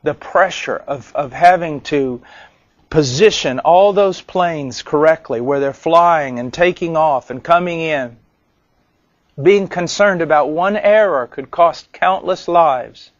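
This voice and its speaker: A man preaches in a fairly high voice, earnest and deliberate, putting rhetorical questions to his listeners. The sermon recording is slightly dull and dry, with little room sound. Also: no room sound.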